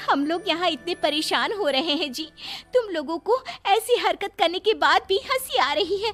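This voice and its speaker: Woman voicing a very high-pitched young daughter-in-law. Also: lively intonation, normal speed, a bit bright. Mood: exasperated. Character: dutiful, put-upon young daughter-in-law, quietly simmering. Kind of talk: animated moral story dialogue. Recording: music under the voice.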